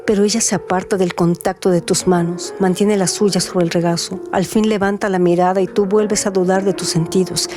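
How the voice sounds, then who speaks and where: A woman narrator and radio host reading in a scripted, literary storytelling style, with music behind her voice. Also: medium pitch.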